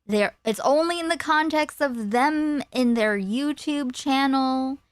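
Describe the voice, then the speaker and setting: A woman's voice: high voice, slow, no echo. A woman hosting a live stream, chatting casually and meandering, with some casual swearing. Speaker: streamer host.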